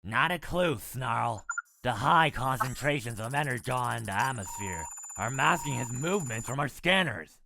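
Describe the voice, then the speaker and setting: A man's voice: mid-range voice, lively intonation, normal speed, vocal fry. A man voicing a level-headed male robot dinosaur scout in a comic dub, talking in a casual, questioning way. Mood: puzzled. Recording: noisy hissy home recording.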